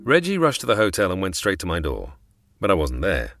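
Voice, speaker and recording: A man's voice: low-pitched, wildly swooping pitch, brisk, exaggerated voice. An actor playing a wry, persistent man who narrates his own chase in a radio drama. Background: music.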